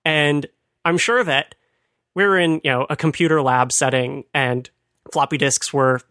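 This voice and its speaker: A man's voice: on the high side, steady tone, measured pace, pushed and bright, dry recording, clean studio sound. A man hosting a podcast, explaining things in a casual, chatty way and laughing at his own asides. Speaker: podcast host.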